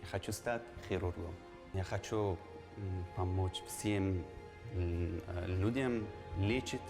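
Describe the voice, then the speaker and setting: A young man from Afghanistan, a medical student, speaks hesitantly and unevenly in a language that is not his own. His voice is very low. The sound rings with long hall reverb, and music plays clearly underneath. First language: not Russian. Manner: hesitant, halting, uneven pace. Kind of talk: interview answer.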